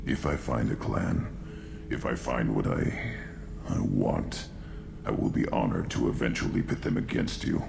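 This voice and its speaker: A man's voice: animated, a little slow, noisy background, exaggerated voice. A man voicing a hulking young alien super soldier in a video game, blunt, proud and menacing in a terse growl. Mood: grudging respect. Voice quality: deep gravelly growl. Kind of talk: video game character acting.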